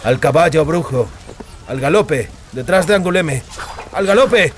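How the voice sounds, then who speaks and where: A man's voice: fairly high pitch, lively intonation, a little slow, slight background noise, pitched above the performer's own voice. A man voicing a wounded, stubborn male warrior in a dramatised audiobook, urgent, with a trembling edge. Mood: strained, urgent.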